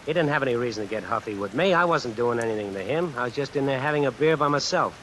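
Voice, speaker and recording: A man's voice: mid-range voice, animated, quick pace, fairly bright tone, lightly acted. A man playing a terse, defiant young riveter and brawler in a 1950s radio drama. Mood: defiant, aggrieved. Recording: hissy old radio recording under rain sounds.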